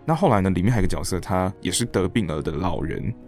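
A very deep-voiced man with a Taiwanese Mandarin accent chats as an interview guest. He explains himself earnestly at a quick pace, with lively swings of intonation. Faint music plays behind him. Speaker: interview guest, stage director and actor. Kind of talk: reflective casual interview chat.

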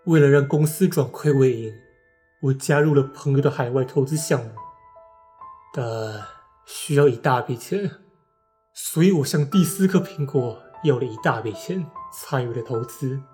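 A man's voice: medium pitch, normal speed, warm tone, close to an everyday voice. A man playing an ambitious, desperate businessman making a confession in a radio drama. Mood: solemn confession. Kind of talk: radio drama monologue and acting. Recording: music under the voice. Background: music.

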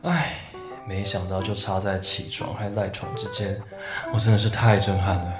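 A man playing a wordy, lovestruck high school boy in a radio drama, given to mock-solemn, theatrical turns. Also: level tone, normal speed, close to an everyday voice. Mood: wry musing. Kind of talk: radio drama dialogue and inner monologue. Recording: music behind the voice.

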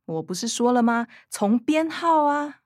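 A bookish young daughter with a Taiwanese Mandarin accent, explaining things matter-of-factly. Her voice sits fairly high. The recording is close and dry. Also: animated, unhurried, no room sound. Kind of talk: radio drama acting.

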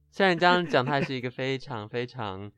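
A man's voice: medium pitch, fairly bright tone, smooth, no room sound. Young Taiwanese male co-host, joking storyteller. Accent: Taiwanese Mandarin. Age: teens to young adult, high school senior. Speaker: student podcast co-host. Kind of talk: casual chat and storytelling.

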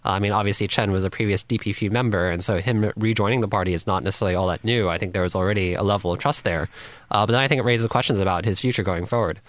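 Rapid-talking male political analyst. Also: on the low side. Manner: hedging, analytical, lots of "I think". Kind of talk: news panel analysis.

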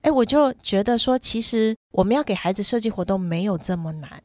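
A middle-aged woman chats as a podcast co-host, laying out her thoughts in an explanatory, step-by-step way. Her pitch sits in the middle for a woman, and the sound is dry and close. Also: lively intonation, fairly quick, darkish tone, no room sound. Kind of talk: conversational parenting podcast chat.

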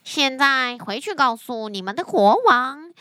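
A woman voicing a cocky fairy-tale strongman in a children's story, taunting and deliberate. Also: high voice, animated, sharp tone, clear, resonant tone, no echo, studio quality, full character voice. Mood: smug threat. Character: cocky strongman who blows gales from his nostril.

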